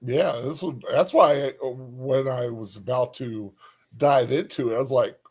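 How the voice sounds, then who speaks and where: A man co-hosting a casual chat, speaking informally and a little haltingly. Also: medium pitch, darkish tone, slightly rough. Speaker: co-host.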